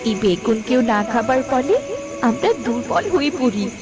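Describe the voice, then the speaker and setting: Lady doing a sad magic eggplant for a cartoon, high swoopy voice, music under it. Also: animated, measured pace. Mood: grave, plaintive. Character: sorrowful enchanted talking eggplant, once a queen's favourite. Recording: music behind the voice.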